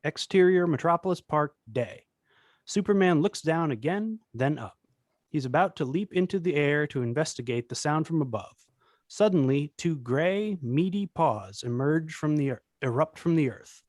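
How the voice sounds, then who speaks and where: A man's voice: a little high, a little mellow, no echo, studio quality. A man narrating the stage directions of an action screenplay at a table read.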